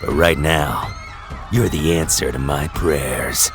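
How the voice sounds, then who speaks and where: A man playing a gruff, short-tempered mutant tough guy with a growling, slangy, confrontational delivery in an audio drama. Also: extremely low pitch, takes it slowly, over music, a crowd in the background, heavily acted. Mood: combative.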